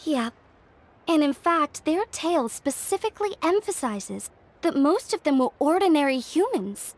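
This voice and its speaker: A woman's voice: high voice, sing-song, moderate pace. A woman playing a modest, friendly young craftswoman in an English video game, politely explaining like a storyteller.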